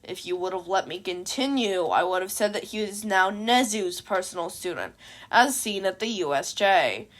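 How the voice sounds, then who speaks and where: A woman voicing a lazy, deadpan male teacher with a drawl, in a fan fiction audiobook. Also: mid-range voice, moderate pace, pitched below the performer's own voice, lightly acted. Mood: bored, flat.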